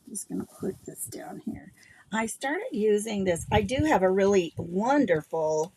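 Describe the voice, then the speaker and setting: A middle-aged woman with a gruff voice, chatting and rambling casually as she hosts a craft livestream. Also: on the low side, background noise. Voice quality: gravelly, gruff voice. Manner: chatty and rambling, thinking aloud while working. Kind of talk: casual craft demo chat.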